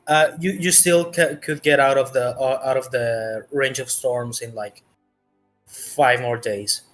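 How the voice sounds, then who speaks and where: A man narrating a tabletop roleplaying game as game master, in a halting, hesitant way. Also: mid-range voice, fairly even intonation, a bit bright, faint music behind.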